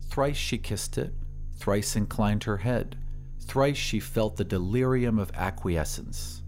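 A man giving a measured, deliberate and expressive reading of a literary story as a scripted dramatic narration. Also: on the low side, soft background music. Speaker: narrator of an audio story podcast.

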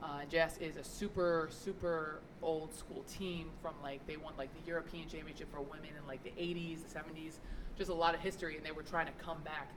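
A young adult woman chatting casually as a podcast guest, telling stories in an animated, quick way. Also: low-pitched, noisy background. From New York. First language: Italian. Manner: animated storyteller, quick asides.

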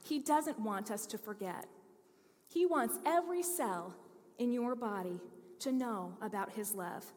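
High-voiced woman preaching in a reverberant hall. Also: unhurried. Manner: earnest storytelling preacher, lively emphasis. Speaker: pastor. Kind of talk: sermon.

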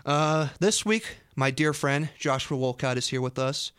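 A man's voice: medium pitch, a little slow, a bit bright, smooth, dry recording, studio quality. A young man hosting a casual radio chat in an upbeat, effusive way. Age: young adult. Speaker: college radio show host. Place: radio studio. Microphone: close studio microphone.

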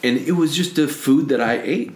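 An American man hosting a podcast, chatting in a relaxed way and telling stories with frequent restarts. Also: fairly high pitch, moderate pace, reverberant, slight background noise. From United States. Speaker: podcast host. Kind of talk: casual conversational podcast.

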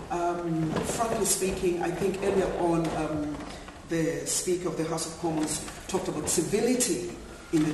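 Panel speaker, male, from Zambia; measured, thoughtful delivery; heard from a distance in a big echoey room. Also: fairly high pitch, background noise. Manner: measured, reflective, with frequent fillers. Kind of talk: panel discussion remarks. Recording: echoey hall, distant mic.

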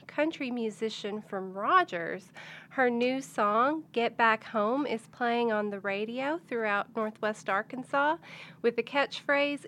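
A woman's voice: fairly high pitch, a bit bright, a little hiss or hum. A woman hosting a casual interview as a music editor, asking questions in an informal way.

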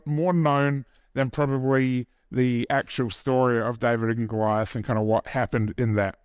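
A man's voice: mid-range voice, smooth, no room sound, studio quality. A man with a New Zealand accent preaching an informal sermon at a church service, joking about himself and chatting with the congregation. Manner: self-deprecating and joking, chatty asides to the audience.

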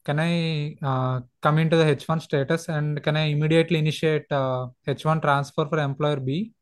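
A man's voice: fairly high pitch, fairly even intonation, normal speed, dry recording, clean studio sound. A man calling in with questions for a lawyer, speaking politely and hesitantly. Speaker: caller. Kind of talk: asking legal questions on a call. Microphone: conference call line, close and dry.

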